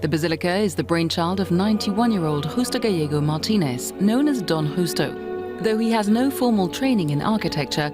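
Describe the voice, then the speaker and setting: A woman narrating a news feature in a measured, scripted reading, also voicing translations of interviews. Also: on the low side, animated. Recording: loud music bed under voice.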